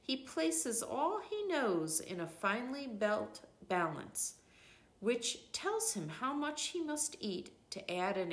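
Slow-paced older woman reading aloud. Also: medium pitch, a bit bright, echoey room. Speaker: reader of a nature devotional book. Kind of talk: scripted narration, literary read-aloud.

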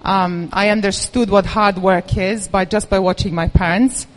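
A woman's voice: mid-range voice, steady tone, normal speed, low-quality recording. Woman telling her life story on stage, nervous but candid. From Serbia. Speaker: event speaker. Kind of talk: personal story talk to an audience.

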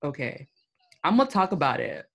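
Woman podcast cohost, deep-ish voice, super chatty and playful, says like a lot. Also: very animated, a little fast, creaky. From United States. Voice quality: low, deep voice. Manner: playful, chatty, full of "like" fillers. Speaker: podcast co-host. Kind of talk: casual chat.

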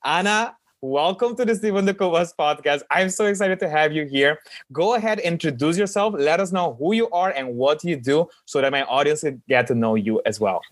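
A man's voice: on the high side, animated, fairly quick, no echo, clean studio sound. A man hosting a podcast in a casual, conversational interviewing style. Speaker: podcast host.

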